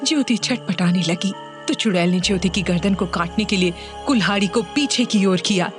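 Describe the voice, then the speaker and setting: A woman's voice: medium pitch, moderate pace. A woman storyteller giving a dramatic scripted narration of a horror story. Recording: music behind the voice.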